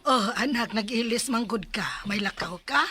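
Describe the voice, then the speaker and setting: A woman's voice: on the high side, normal speed, loud music behind the voice, lightly acted. An actress playing a doting, encouraging mother in a Bisaya radio drama, with a Cebuano accent. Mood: affectionate. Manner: affectionate, motherly.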